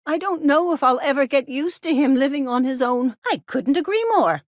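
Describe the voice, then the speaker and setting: A woman's voice: high voice, lively intonation, moderate pace, no echo, studio quality. An actress playing a doting, anxious middle-aged frontier mother in a video game, with a melodramatic, folksy manner. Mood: fond, clingy. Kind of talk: video game character dialogue.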